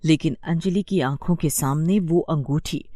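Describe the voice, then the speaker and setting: A woman's voice: deep voice, measured pace, warm tone, no echo, clean studio sound. A woman storyteller narrating a scripted bedtime moral story.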